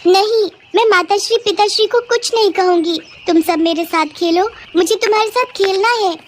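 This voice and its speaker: A woman puts on a sad young princess for a cartoon bedtime story. The voice sits very high. She pleads plaintively, like a child nobody will play with. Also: normal speed, a little hiss or hum. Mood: pleading. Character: sad, rejected little princess girl. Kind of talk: Hindi moral story cartoon.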